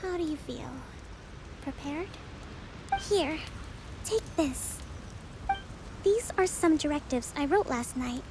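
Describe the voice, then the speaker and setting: An actress playing a young priestess-leader who speaks in a calm, measured, reassuring way, in a video game. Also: female voice, high-pitched, background noise, full character voice. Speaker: divine priestess of an island. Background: game music.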